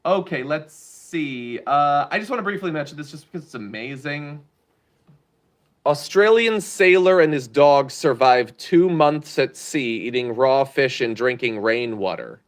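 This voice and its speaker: A man's voice: a little high, lively intonation, unhurried. A man hosting a political commentary show, giving blunt, sarcastic opinions on the news.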